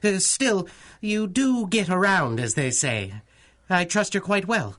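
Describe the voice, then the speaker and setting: An actor playing a polite, fussy older scientist with a hesitant, avuncular manner in a radio drama. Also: male voice, high voice, lively intonation, unhurried, fairly bright tone. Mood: courteous, flustered. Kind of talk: 1930s-style radio drama acting.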